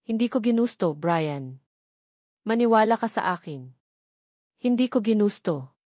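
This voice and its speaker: A woman's voice: medium pitch, expressive, unhurried, a little mellow, dry recording. A tearful young mother in a romance audio story, acted by a woman narrator. She pleads in a frightened, guilty, trembling manner. The recording is dry. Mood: tearful, remorseful.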